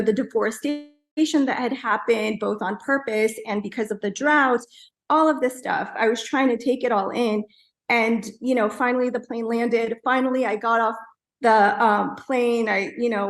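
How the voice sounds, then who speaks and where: Earnest woman designer in an echoey room. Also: on the high side. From Afghanistan. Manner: earnest, many fillers like "you know" and "um". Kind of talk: reflective storytelling in an interview.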